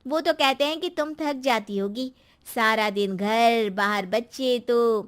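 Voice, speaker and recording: A woman's voice: a little high, slow, clean tone. A woman voicing a chatty, knowing housewife neighbour in a cartoon story.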